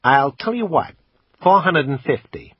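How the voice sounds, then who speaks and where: A man's voice: a little high, lively intonation, a little slow, slightly rough, dry recording, voice pushed up. Man voicing a pushy pawn shop salesman. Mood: eager salesman. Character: eager haggling male pawn shop salesman. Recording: dull, slightly lo-fi.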